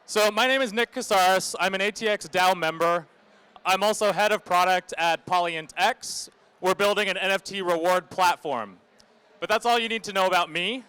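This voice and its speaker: A man's voice: high-pitched, moderate pace, sharp tone, smooth, no room sound. A man moderating a panel on stage, speaking to a live audience. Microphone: stage microphone.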